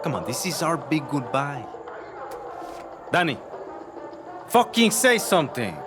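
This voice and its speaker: A man's voice: fairly high pitch, sing-song, a little slow, over music. An actor playing an exasperated young man in a video game, sarcastic and confrontational. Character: blunt, exasperated young male friend fleeing home. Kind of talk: cinematic video game acting.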